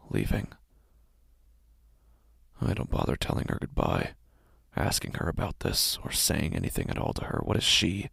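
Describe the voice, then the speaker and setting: Anguished, gravelly horror-story father. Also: low-pitched, no echo, clean studio sound, heavily acted. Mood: weary, bitter. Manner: halting, repetitive, breathy, swinging from hushed to intense. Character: anguished, sleepless, guilt-ridden father narrating a horror tale. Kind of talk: dramatic horror audio narration in first person.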